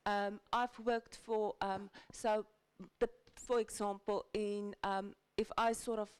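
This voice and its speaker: A woman's voice: mid-range voice, level tone, slow pace, clear, resonant tone. A woman from South Africa, a lawyer on a panel, talking hesitantly with many ums and restarted sentences. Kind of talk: panel discussion. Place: large conference hall.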